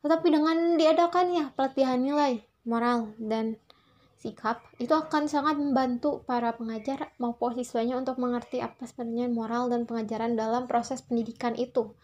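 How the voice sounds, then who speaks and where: A woman's voice: on the high side, steady tone, normal speed, a little mellow, highs cut off. A young woman gives a prepared student response in a polite, formal manner, often repeating and rephrasing her phrases. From Indonesia. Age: young adult. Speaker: student presenter. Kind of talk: prepared spoken class response.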